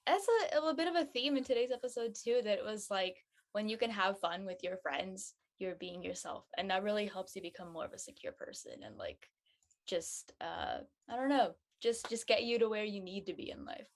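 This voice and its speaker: A woman's voice: medium pitch, lively intonation, fairly quick. A young woman talking casually as a panelist. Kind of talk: casual chat.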